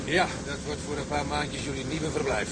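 An actor playing a down-to-earth boatswain in a radio drama, with a wry, blunt way of talking. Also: male voice, on the high side, little pitch movement, moderate pace, heavy background noise, lightly acted. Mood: wry, brisk. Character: down-to-earth male boatswain, wry and matter-of-fact.

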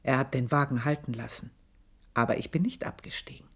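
An actress playing an aged maidservant, proud, bitter and passionate, who tells her story in a slow, intense radio play monologue and sometimes sinks to a hushed whisper. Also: extremely low pitch, husky, exaggerated voice. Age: older. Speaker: old maidservant telling her life story.

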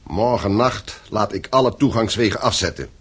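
A man's voice: lowish voice, moderate pace, fairly bright tone, husky. An actor in a radio play playing a stern, domineering middle-aged father who owns a mine, commanding and reproachful. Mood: stern, businesslike. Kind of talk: Dutch radio play acting.

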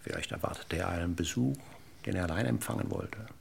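An actor playing a middle-aged police inspector in a German radio crime drama, husky and gravelly, formally polite, deliberate and persistent in his questioning. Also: low-pitched, a little hiss or hum. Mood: politely insistent. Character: probing, courteous male police inspector, dryly persistent.

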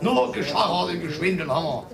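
A man's voice: sits high, lively intonation, a little fast, vocal fry. A man from East Germany grumbling in casual chat, blunt and repetitive. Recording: distant mic, crowd noise behind.

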